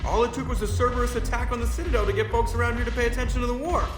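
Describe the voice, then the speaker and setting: A man's voice: very high voice, fairly even intonation, rapid-fire. A man playing a wry, self-deprecating spaceship crewman in a video game, joking a little awkwardly. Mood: animated, wry. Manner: joking, sarcastic, slightly awkward. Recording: roomy echo, game music behind. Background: music.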